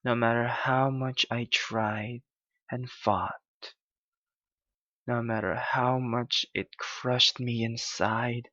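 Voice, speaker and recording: A man playing a clingy, heartbroken young yandere lover in an intimate ASMR roleplay, halting and pleading in his anguish. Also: medium pitch, fairly bright tone, no echo, highs cut off.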